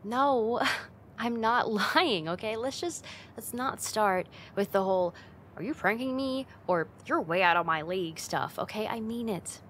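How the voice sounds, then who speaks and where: Casting: actress as teasing young female roommate, ASMR roleplay, unhurried, mid-pitched, playful and affectionate, close-miked with some background noise. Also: animated, a bit bright. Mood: tender, sincere. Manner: teasing, intimate, giggly, slow and coaxing.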